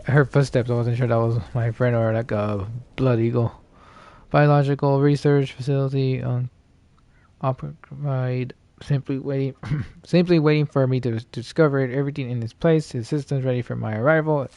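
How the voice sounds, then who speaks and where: A man doing casual live gameplay commentary as a streamer, thinking aloud in a halting way. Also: medium pitch, fairly even intonation, dark tone, dry recording. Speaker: game streamer.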